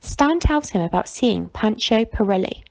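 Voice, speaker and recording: Lively storybook narrator, woman with creaky edge. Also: medium pitch, moderate pace, rough recording. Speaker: teacher reading a children's novel aloud.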